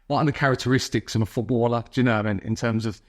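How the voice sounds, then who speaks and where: A man's voice: mid-range voice, steady tone, very fast. A man hosting a conversational interview, putting long, meandering, tentatively phrased questions to his guest. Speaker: podcast interviewer, host.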